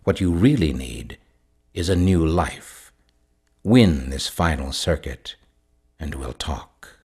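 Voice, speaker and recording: A man's voice: very deep voice, expressive, slow, a little mellow, slightly rough, heavily acted. An actor playing a grand, sinister mastermind in a video game, a man with a formal, theatrical, coldly courteous manner. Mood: mock sympathy. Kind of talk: video game character dialogue.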